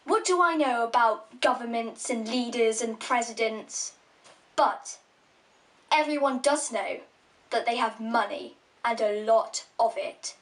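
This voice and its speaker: A woman's voice: a little high, measured pace, far from the mic. Twelve-year-old girl, earnest. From England. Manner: earnest, challenging. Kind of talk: recorded video speech.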